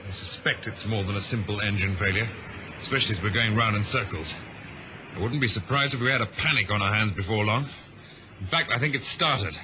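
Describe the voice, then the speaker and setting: An actor in a vintage radio drama playing a respectful chief officer who reports briskly and deferentially. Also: male voice, on the low side, close to an everyday voice. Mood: worried, dutiful. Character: dutiful male chief officer aboard ship, respectful, frank. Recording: noisy old radio drama recording.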